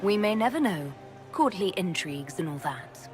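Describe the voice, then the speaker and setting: A woman's voice: on the low side, expressive, normal speed, over music, lightly acted. An actress playing a wary female leader with a dry wit in a video game cutscene. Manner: guarded, dry.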